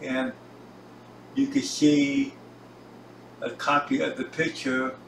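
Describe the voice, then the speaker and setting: Halting man in an echoey room, off-mic. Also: medium pitch, little pitch movement, vocal fry, a bit bright. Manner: halting, piecemeal phrasing. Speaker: home recording hobbyist presenting own gear. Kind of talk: casual gear tour, narrated while filming. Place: home recording room, indoors. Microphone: camera on tripod, some distance away. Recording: roomy echo, distant mic, hissy background.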